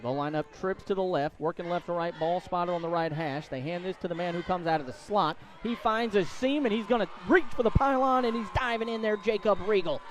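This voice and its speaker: A man doing live play-by-play commentary of a high school football game. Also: fairly quick, few pauses, slight background noise. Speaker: play-by-play announcer.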